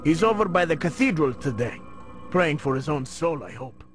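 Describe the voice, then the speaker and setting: A man's voice: a little high, sing-song, normal speed, soft background music. An actor playing a grim, embittered dockside foreman in a video game, guarded and bitter in manner.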